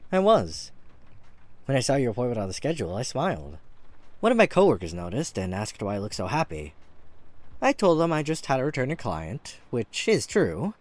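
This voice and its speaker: Guy doing a sweet flirty catboy hairdresser, bashful and gentle, super animated pitch, really dry close mic, roleplay audio. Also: dry recording. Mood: tender, shy. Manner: gentle, bashful, earnest, chuckling at himself. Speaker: voice actor. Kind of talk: flirty ASMR-style roleplay audio drama. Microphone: close studio mic.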